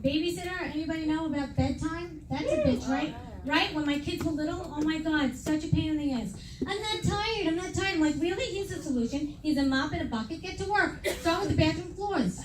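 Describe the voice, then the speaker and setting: This is a brash middle-aged woman from New York with a high voice, delivering stand-up with self-mocking punchlines. She is recorded from a distance in an echoey club. A loud crowd laughs all around her. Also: fairly quick, few pauses. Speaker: stand-up comedian. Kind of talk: stand-up comedy set. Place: comedy venue with a live audience. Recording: echoey room, mic heard from afar, loud crowd laughter.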